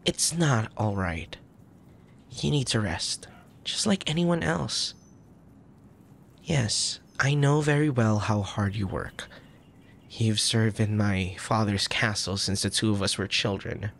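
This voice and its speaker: A man playing a soft, tender young crown prince in an intimate ASMR roleplay, speaking formally with a gentle, hesitant manner and a troubled air. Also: on the low side, slow pace, creaky, slight background noise, heavily acted. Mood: earnest, protective. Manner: formal old-fashioned wording, gentle, hesitant.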